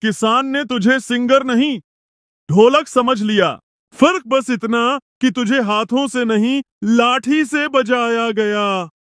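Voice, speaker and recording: A man's voice: very high voice, slow, a bit bright, no echo, studio quality, heavily acted. A man voicing a sly, clever female fox who teases and scolds her friend with sarcasm. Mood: sarcastic. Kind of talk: cartoon voice acting.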